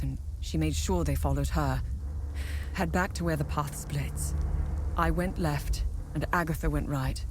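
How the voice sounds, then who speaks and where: A woman's voice: deep voice, a little hiss or hum, lightly acted. A woman playing a determined, candid war journalist in a video game, earnest and matter-of-fact with a weary resolve. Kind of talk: video game cutscene dialogue.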